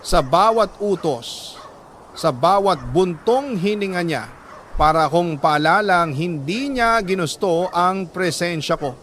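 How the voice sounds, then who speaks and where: A man reading a scripted first-person letter as a dramatic story, in a solemn, measured, emotive way. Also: a little high, fairly bright tone, slight background noise.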